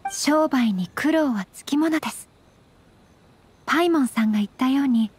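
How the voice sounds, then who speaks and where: A woman playing a gentle, modest young noblewoman in an anime game, very polite, formal and composed. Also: high-pitched, lightly acted. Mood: polite, firm.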